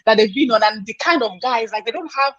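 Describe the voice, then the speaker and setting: A woman's voice: fairly high pitch, quick pace, dry recording, lo-fi sound. A Nigerian woman hosting a casual live podcast, chatty, reading out viewer comments and exclaiming. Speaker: podcast host.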